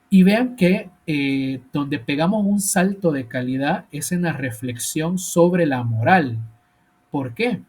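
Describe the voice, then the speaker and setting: Guy lecturing philosophy, kinda high husky voice, lots of "eh" and self-corrections. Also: darkish tone. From El Salvador. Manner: thinks aloud with many "eh" fillers and self-corrections; asks rhetorical questions. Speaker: philosophy teacher. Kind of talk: university lecture.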